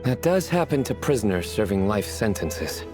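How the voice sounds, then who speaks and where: A man playing a composed, wry, deadpan character in a video game. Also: on the low side, moderate pace, music in the background.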